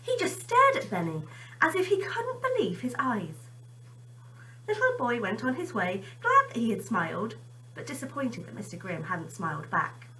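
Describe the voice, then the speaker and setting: A woman's voice: on the high side, huge pitch swings, normal speed, pushed and bright, far from the mic, rough recording. A woman teacher chatting informally to children and reading them a story expressively.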